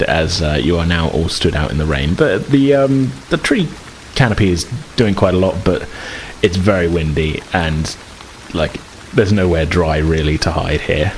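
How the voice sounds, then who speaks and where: A man running a tabletop roleplaying game as game master, improvising narration and haltingly repeating words as he thinks. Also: deep voice, poor audio. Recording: faint rain ambience noise.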